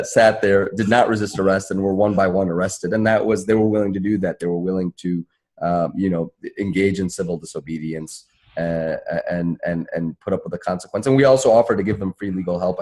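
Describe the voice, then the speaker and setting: A man's voice: sits low, a little mellow. A man, a lawyer on a panel, explaining legal points in a conversational way. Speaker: panelist, civil rights lawyer.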